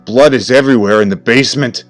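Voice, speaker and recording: Urgent, gruff veteran male detective, performed by a male narrator, commanding, horror audio story, faint music behind. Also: medium pitch, quick pace, voice pushed up, natural voice. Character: gruff aged male police detective, commanding, chain-smoking.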